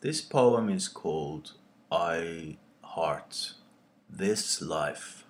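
A male poet speaks slowly and thoughtfully, pausing between short phrases. His fairly low voice moves widely up and down in pitch. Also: animated.

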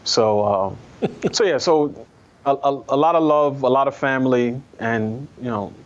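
Measured man telling his life story. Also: medium pitch. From Barbados-born, raised in New York. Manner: thoughtful, measured, many ums and restarts. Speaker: guest columnist. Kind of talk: personal storytelling interview. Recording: slightly dull lo-fi sound.

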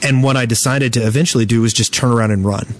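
A man's voice: mid-range voice, steady tone, quick pace. A man hosting a live podcast from a convention room, telling a rambling gaming story in a mid-range voice. His delivery is loose and casual, with restarts and acted-out quotes. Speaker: podcast host. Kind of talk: casual chat.

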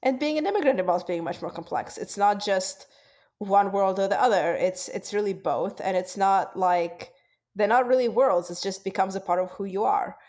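Russian-accented woman co-host, mid pitch, reflective and halting, relaxed chat. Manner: thoughtful, with frequent restarts and repeated words. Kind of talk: casual discussion.

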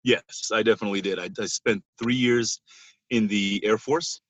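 A man's voice: on the low side, steady tone, normal speed, no echo. A man in his thirties from Israel, giving conversational interview answers as a podcast guest over a band-limited call. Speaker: podcast guest, basketball coach.

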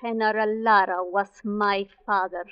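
A woman playing a proud, distrustful young Mexican woman with a Mexican accent and a sharp tongue in a 1940s radio drama. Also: medium pitch, steady tone, unhurried, smooth, natural voice. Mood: threatening. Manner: sharp-tongued, defiant, commanding. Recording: dull vintage 1940s radio recording.

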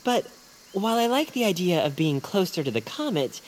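A fretful, courteous friend in an audio drama. The delivery swings widely in pitch, with hesitant, quaint phrasing and polite timidity. A faint noise sits behind the voice. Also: female voice, big pitch swings, brisk, darkish tone, rough recording. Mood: polite, gentle. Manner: hesitant, old-fashioned genteel phrasing. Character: timid, fretful, polite friend named Noah, afraid of heights. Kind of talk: audio fiction acting in a quaint old-radio manner.

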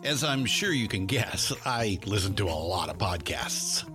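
A man's voice: on the low side, lively intonation, unhurried, over music. A man reading a podcast ad as a chatty personal story, in a dry studio recording. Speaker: ad host. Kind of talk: host-read ad.

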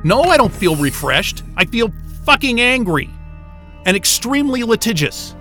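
Exasperated, high-voiced, sweary sci-fi scientist. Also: male voice, big pitch swings, moderate pace, sharp tone, soft background music, heavily acted. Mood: furious. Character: angry, sarcastic, foul-mouthed male astrophysicist. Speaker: astrophysicist character in audio drama. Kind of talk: naturalistic sci-fi audio drama acting.

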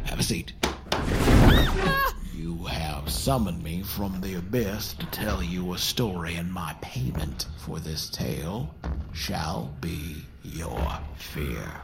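An actor playing a gleeful, sinister demon bard who feeds on fear, slow and theatrical, in a horror audio drama. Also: male voice, low voice, creaky, exaggerated voice. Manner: slow, theatrical, savoring menace. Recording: music bed behind the voice.

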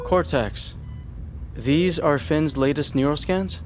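A man's voice: fairly high pitch, takes it slowly, soft background music. A man playing a troubled, questioning medical researcher in a naturalistic audio drama. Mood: troubled, puzzled. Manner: intent, questioning.

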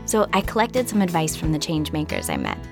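A woman from Minnesota hosting a podcast, narrating a script in an earnest, measured way. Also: deep voice, huge pitch swings, gravelly, over music. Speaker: podcast host.

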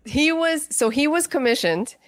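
A woman's voice: high voice, expressive, moderate pace, a little hiss or hum. A woman speaking casually as an interview guest, a writer telling stories in a chatty way and acting out bits of quoted conversation.

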